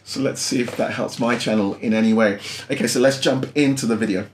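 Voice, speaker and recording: A man's voice: mid-range voice, fairly even intonation, fairly quick, slight background noise. A man from London hosting a food channel, chatting casually and laughing often as he reacts to a video. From London, England. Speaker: YouTube food channel host. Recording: roomy room sound.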